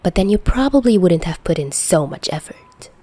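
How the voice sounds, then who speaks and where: A woman's voice: lowish voice, animated, moderate pace, mellow. A woman playing a flustered, sarcastic young man in a story, with a blunt, grumbling manner. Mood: casual, teasing.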